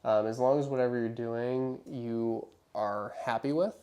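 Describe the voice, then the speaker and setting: A man's voice: medium pitch, fairly even intonation, unhurried, warm tone, smooth. A man speaking as a physical therapist in a casual consultation, explaining things in a reassuring, hedging way.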